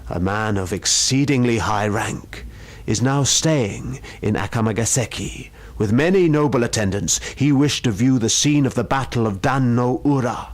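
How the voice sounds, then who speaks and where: A man's voice: mid-range voice, close to an everyday voice. Man acting out a commanding ghostly samurai retainer. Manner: loud, formal, commanding calls. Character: ghostly samurai retainer, commanding, menacing deep-voiced stranger.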